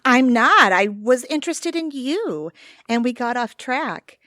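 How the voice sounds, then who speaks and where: A woman plays a courteous bookstore clerk with a fairly high, expressive voice. She is guarded and easily flustered, acted for comic audio theatre in a dry recording. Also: unhurried, dry recording. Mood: defensive. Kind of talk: comedic audio theatre acting.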